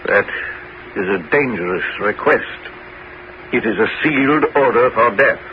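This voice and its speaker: An actor playing a worried, sceptical but devoted French finance minister in a 1970s radio drama, earnest and deliberate. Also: male voice, medium pitch, lively intonation, natural voice. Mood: grave. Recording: dull, faintly noisy 1970s radio recording.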